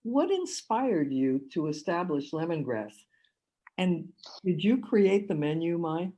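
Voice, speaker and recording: A woman's voice: fairly low pitch, very animated, slow pace, dark tone, dull audio. A woman hosting an internet radio interview, asking questions and giving brief friendly affirmations. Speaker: radio host.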